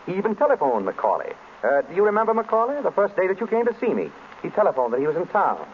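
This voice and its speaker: Suave sleuth, breezy and authoritative, very animated delivery, 1940s-style radio drama with audible hiss. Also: male voice, high voice, fast talker. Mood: measured, accusing. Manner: breezy, urbane, in command. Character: suave, wry male detective hosting a dinner of suspects. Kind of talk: old-time radio drama acting. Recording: noisy, tinny vintage radio broadcast.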